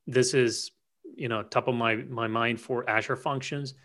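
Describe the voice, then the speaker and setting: A man's voice: medium pitch, fairly bright tone. A man co-hosting a casual technical podcast, explaining concepts at length with frequent restarts and fillers. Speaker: podcast co-host.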